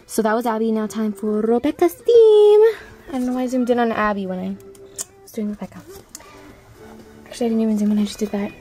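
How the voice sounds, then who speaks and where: A woman's voice: a little high, animated, fast talker, long pauses, mellow, faint music behind. A woman chatting casually and rambling to viewers as she introduces her amateur voice auditions. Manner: rambling, self-commenting.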